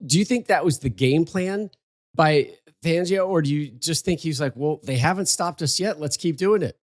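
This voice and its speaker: A man's voice: a little high, normal speed, no room sound, clean studio sound. A man hosting a casual sports podcast, upbeat as he reads sponsor lines and plugs the show. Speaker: podcast host.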